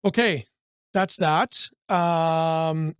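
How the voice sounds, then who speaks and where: A man on a brokerage podcast, with a voice sitting a touch above the usual male range and a slight hoarseness from a cold. He talks in a loose, rambling way, full of fillers and restarts. The sound is close and dry. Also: on the high side, fairly even intonation, very slow, dry recording. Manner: rambling, searching for words, many fillers. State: losing his voice from a cold. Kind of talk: casual business podcast chat.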